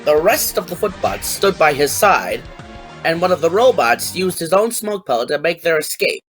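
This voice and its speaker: A woman's voice: fairly low pitch, animated, moderate pace. A woman narrating a scripted fan fiction story as its storyteller. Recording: faint music behind the voice.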